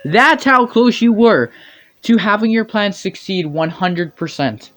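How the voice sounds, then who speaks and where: A man with a slightly high voice delivers snarky video commentary over faint music. He picks at game cutscenes bluntly, with crude, sarcastic asides. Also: animated, unhurried, a bit bright. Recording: music behind the voice.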